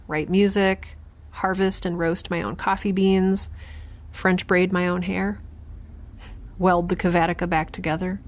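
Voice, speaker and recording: A woman playing a weary, overwhelmed young scientist in a science fiction audio drama, speaking a hesitant, wry and confiding diary monologue. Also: fairly low pitch. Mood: apologetic, tired. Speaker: narrator and lead of an audio drama.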